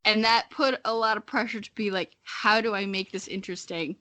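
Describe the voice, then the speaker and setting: A woman's voice: mid-range voice, fairly even intonation, fairly quick, bright, forceful tone. A young woman co-hosting a podcast in casual chat, candid and self-mocking, with easy swearing. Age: young adult. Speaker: podcast co-host. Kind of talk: casual chat between friends.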